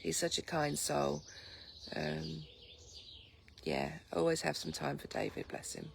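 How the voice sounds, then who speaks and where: A slow-talking woman with an English accent and a very gravelly, croaky morning voice. She chats sleepily and easily to viewers on her phone. Loud birdsong fills the outdoor air around her. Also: sits low, a little hiss or hum. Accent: English, Lincolnshire. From England. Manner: relaxed, rambling, warm-hearted chatter with many ums. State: just woken, sleepy. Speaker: vlogger greeting live viewers. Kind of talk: casual chatty morning livestream. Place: outdoors in a garden. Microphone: phone camera. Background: birdsong and light breeze.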